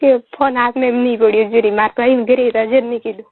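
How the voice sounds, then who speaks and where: A woman's voice: a little high, steady tone, fast, no echo. A woman with a Marwari accent in a casual private phone chat, on a muffled call recording. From Rajasthan, India. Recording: muffled phone recording, faint noise, clicks.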